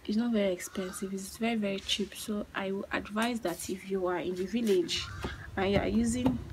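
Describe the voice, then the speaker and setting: A young Nigerian woman talks casually to a camera held at arm's length in an open yard, repeating phrases for emphasis. Her voice is of ordinary pitch, with clear background noise from outdoors. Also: fairly even intonation, fairly quick, darkish tone. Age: 20s. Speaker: vlogger. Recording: noisy outdoor phone-style recording with clicks.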